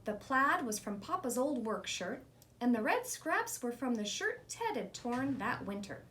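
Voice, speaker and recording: A woman reading a children's storybook aloud in an expressive narrating style. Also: fairly high pitch, unhurried, slight background noise. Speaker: storybook reader.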